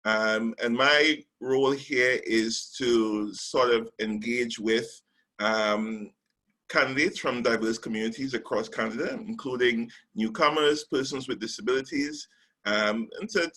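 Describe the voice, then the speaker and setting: A man from Trinidad and Tobago leads a webinar as a recruitment specialist, with a bright, forward tone. He talks in a measured way, pausing on ums between points. His voice comes through a remote call microphone. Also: medium pitch, low-quality recording. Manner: measured, with frequent ums and slide cues. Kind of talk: webinar presentation.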